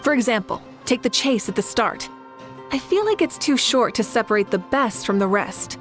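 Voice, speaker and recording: A woman's voice: a little high, lively intonation, moderate pace, soft background music, natural voice. A woman voicing a confident, fiery and good-humoured warrior champion in a video game, assured and playful. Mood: considered. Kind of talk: video game character acting.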